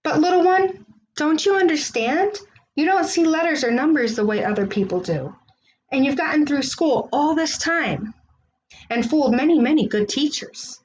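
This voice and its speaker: A woman's voice: high-pitched, normal speed, pitched above the performer's own voice, close to an everyday voice. Woman reading as kind, earnest male schoolteacher, noisy recording. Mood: tender, earnest. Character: kind, encouraging male schoolteacher comforting a struggling girl. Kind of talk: children's storybook read-aloud.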